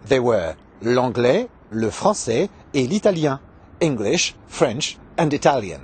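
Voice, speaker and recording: A middle-aged man presents to camera outdoors in a husky, breathy voice. He speaks slowly, and his pitch swings widely. Soft music sits behind him. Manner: slow, expressive. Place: outdoors by a hedge.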